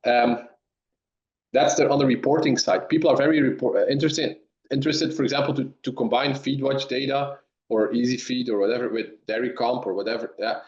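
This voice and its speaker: A man from Belgium, a dairy science professor, answering webinar questions in halting speech with many restarts over a remote call. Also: mid-range voice, fairly even intonation, a little mellow. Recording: roomy, dull lo-fi sound.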